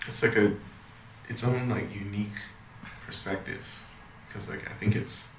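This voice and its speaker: Laid back guy hosting a podcast, lowish voice, roomy echo. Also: a little slow. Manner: relaxed, agreeable, short replies. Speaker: podcast host. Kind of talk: casual conversation. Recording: echoey room, faint noise.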